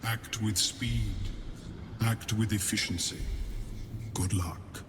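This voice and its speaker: A slow, reverberant creature voice over noisy ambience. It is cold and formal, speaking into the mind in clipped, urgent phrases, as in a fantasy game. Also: male voice, lowish voice, fairly even intonation. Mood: cold urgency. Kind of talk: video game creature acting.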